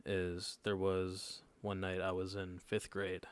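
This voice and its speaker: Deep-voiced nineteen-year-old guy telling a story. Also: completely flat delivery, takes it slowly, smooth. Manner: laid-back storytelling that often checks in with the listeners. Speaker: podcast co-host. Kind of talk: casual chat, telling a personal story.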